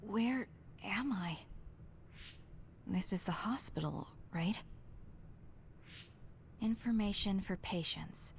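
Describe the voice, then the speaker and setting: A woman's voice: mid-range voice, slow, vocal fry, long pauses, a little hiss or hum. A woman voicing an earnest, determined young woman in an anime game, speaking dramatically as if thinking aloud. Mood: uncertain, musing.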